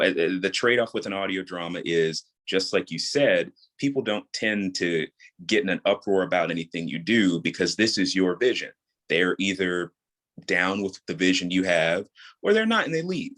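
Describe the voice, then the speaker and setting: A man with a notably low-pitched voice speaks as an interview guest. He explains things in a measured, deliberate, reflective way, as a creator describing his craft. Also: lively intonation, a bit bright. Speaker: guest, audio drama creator and voice actor. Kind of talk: reflective podcast interview talk.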